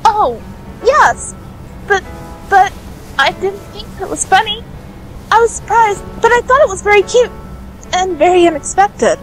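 A woman's voice: very high-pitched, expressive, unhurried, pauses often, soft background music, rough recording. A woman playing an earnest, kind-hearted teenage girl in a radio drama, with a hesitant, innocent manner. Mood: flustered.

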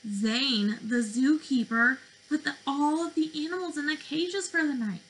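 A woman in her twenties, a speech therapist, reading to preschoolers in an animated, questioning way, recorded on a webcam in a bedroom. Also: high voice, unhurried, slight background noise, rough recording. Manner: animated, questioning delivery for young children. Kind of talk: interactive children's read-aloud lesson. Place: small bedroom indoors.

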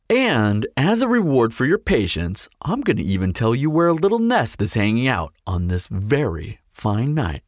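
Here is a cocky immortal male vampire, acted out by a man in an audio roleplay. He teases and flirts with sardonic, theatrical flair. His pitch leaps and dips wildly, his pace is unhurried, and the sound is dry and close. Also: breathy edge, dry recording, full character voice. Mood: playful, coaxing. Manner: playful, drawling, theatrical, sardonic. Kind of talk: scripted audio roleplay acting.

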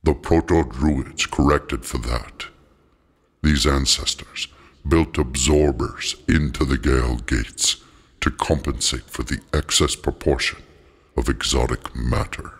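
An ancient, inhuman being played by a man speaks in an extremely low, creaking voice. It is husky and slow, solemnly handing down cryptic lessons as in an audiobook fantasy tale. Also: heavily acted. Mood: solemn, instructive. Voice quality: gravelly, husky. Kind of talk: audiobook character voice.

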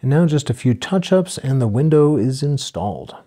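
Older male homesteader, relaxed voice-over, warm low-key tone. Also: moderate pace. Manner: plain, steady, matter-of-fact explaining. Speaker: homesteader narrating a build video.

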